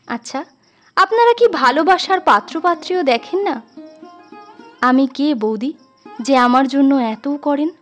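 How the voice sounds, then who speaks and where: Troubled young brother figure from a literary tale, emotional and earnest, high voice, music bed voiced by a woman. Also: lively intonation, measured pace, pauses often. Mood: earnest, wondering.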